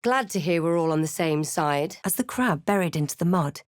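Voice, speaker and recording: A woman voicing a composed, snarky adventurer and spellcaster in a fantasy video game, with a deliberate, dramatic delivery. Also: fairly low pitch, expressive, no room sound, clean studio sound, lightly acted. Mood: wry, composed. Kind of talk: fantasy game voice lines and scripted narration.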